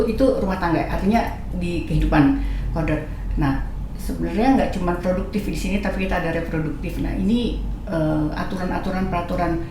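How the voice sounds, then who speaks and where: A middle-aged woman with an Indonesian accent speaking as a guest in a casual discussion, often checking that listeners agree. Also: lowish voice. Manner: animated, checks agreement with rhetorical questions. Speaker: guest speaker, company leader. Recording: very echoey room with faint noise.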